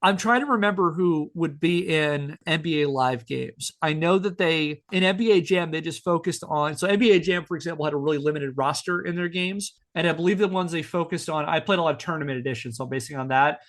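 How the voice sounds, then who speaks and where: A man with a slightly high voice hosts a podcast. He presents prepared material like a storyteller giving a lesson, laying out points in careful, instructive lists. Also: a little fast, hardly any pauses.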